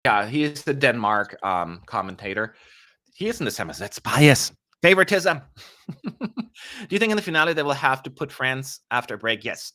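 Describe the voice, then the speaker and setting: A man's voice: medium pitch, expressive, normal speed, sharp tone. A young man hosting a live stream, chatting casually with playful asides and jokes. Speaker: livestream host.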